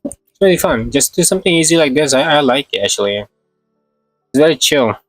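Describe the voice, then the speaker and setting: A man's voice: a little high, lively intonation, brisk, dry recording, faint music behind. A man chatting casually on a game livestream, thinking aloud in short, hesitant fragments. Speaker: game streamer. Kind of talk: casual livestream gameplay chat.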